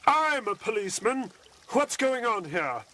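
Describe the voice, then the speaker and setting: Eager, high-voiced policeman played by a man. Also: big pitch swings, measured pace, bright, forceful tone, full character voice. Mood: earnest. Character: eager, gee-whiz male policeman. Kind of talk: parody of old radio drama acting.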